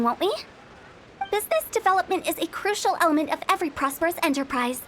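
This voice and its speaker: A woman's voice: very high voice, fairly bright tone, slight background noise. A woman voicing a mischievous young female funeral parlor director in a video game, playful and teasing with spooky asides.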